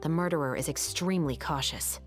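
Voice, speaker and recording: A serious young female musketeer officer from a video game, acted by a woman, with a lowish voice. Her delivery is measured, formal and earnest, with faint music underneath. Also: lightly acted.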